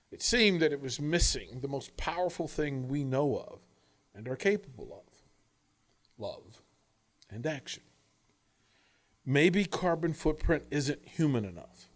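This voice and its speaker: A man reading scripted audiobook-style narration in an earnest, deliberate manner, with dramatic pauses. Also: on the high side.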